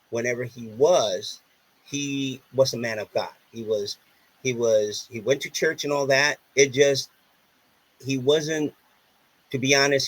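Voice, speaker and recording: Puerto Rican man, Spanish first language, husky tone, earnest storyteller, lots of restarts. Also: mid-range voice, fairly bright tone, poor audio. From Puerto Rico. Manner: earnest, repeats himself, many restarts. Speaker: podcast guest. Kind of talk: casual interview storytelling.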